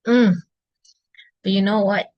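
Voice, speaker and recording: A woman's voice: medium pitch, fairly even intonation, takes it slowly, lo-fi sound. A young woman with a Kenyan accent talking casually to her laptop at home, thinking aloud in an unscripted, self-encouraging video diary. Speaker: video diarist. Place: indoors at home, by a couch.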